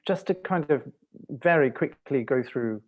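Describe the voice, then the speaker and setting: A man who grew up in Malaysia, a dancer and choreographer speaking as a panel guest, thoughtful and halting with many restarts. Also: mid-range voice, expressive, phone-quality sound. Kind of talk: panel discussion.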